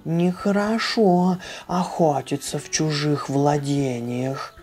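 A reader as haughty storybook mother-like cat, unhurried. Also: mid-range voice, darkish tone, faint music behind, natural voice. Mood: indignant. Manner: important, indignant, lecturing. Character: haughty grown female cat, stern but pitying. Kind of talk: children's storybook reading.

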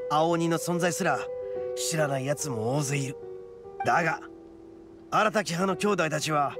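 An actor playing a brash, proud young male oni gang boss in a video game, with rough, cocky, emphatic speech. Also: fairly high pitch. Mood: earnest, proud. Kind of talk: dramatic video game voice acting.

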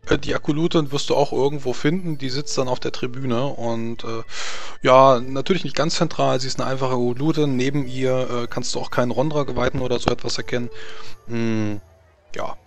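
A man narrating a tabletop role-playing game as game master, piecing his descriptions together haltingly. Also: medium pitch, fairly even intonation, gravelly. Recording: music under the voice. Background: background music.

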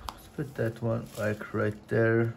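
A young man whose first language is Portuguese, chatting casually at home in his gaming room, with lots of filler words and sudden excited bursts. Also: medium pitch, slow, vocal fry. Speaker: card opening vlogger. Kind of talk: casual unboxing chat. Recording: faint crinkling and desk noise.

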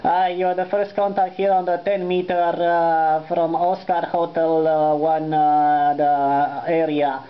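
A man's voice: a little high, completely flat delivery, takes it slowly, hardly any pauses. Romanian-accented man, dull lo-fi radio operator. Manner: courteous, formulaic, spells call signs phonetically. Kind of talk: amateur radio contact chat. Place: indoors, at a desk beside the transceiver. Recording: dull lo-fi sound with faint noise.

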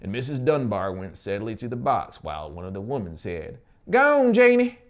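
A man's voice: deep voice, expressive, fairly quick, a little mellow. A man in his thirties narrating an audiobook story in a dramatic style. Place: small dark indoor studio. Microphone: lapel microphone. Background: music.

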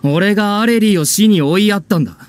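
A man's voice: sits high, natural voice. Man acting a guilt-ridden young troupe member. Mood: guilty, anguished. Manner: grave, halting, remorseful. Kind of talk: Japanese video game voice acting.

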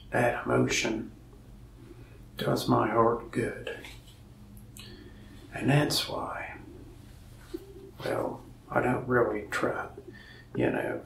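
Young male salesman, soft and half-whispered, very gravelly, mic a bit distant with faint hiss. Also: mid-range voice, roomy sound. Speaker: ASMR creator playing a mask shop salesman. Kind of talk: soft-spoken ASMR roleplay. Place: indoors, small room in front of a wall of masks.

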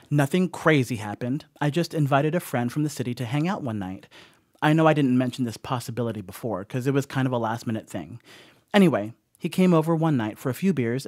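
Sheepish young male stoner house-sitter played by a man, horror radio play. Mid-pitched, rambling, apologetic, closely and dryly recorded. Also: darkish tone, no echo, studio quality, close to an everyday voice. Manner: rambling, apologetic, casual asides. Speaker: voice actor. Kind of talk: audio horror monologue, conversational confessional.

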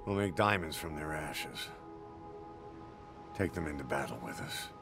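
An actor playing a grave, battle-hardened mercenary commander in a video game, slow and solemn with a whispery rasp. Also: male voice, rumbling low, lively intonation, loud music behind the voice, heavily acted. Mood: solemn, grim. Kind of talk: cinematic video game acting.

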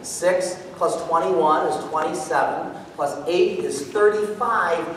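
A middle-aged man giving a live math demonstration to an audience, explaining step by step in an animated manner. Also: on the high side, takes it slowly. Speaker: presenter demonstrating mental math. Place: large auditorium stage, indoors. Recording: echoey hall from far off, background noise.

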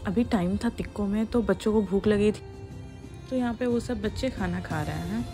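A woman's voice: mid-range voice, brisk, dark tone. Woman narrating a family vlog. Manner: chatty, mixing Hindi with English phrases. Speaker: vlogger. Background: faint music under the narration.

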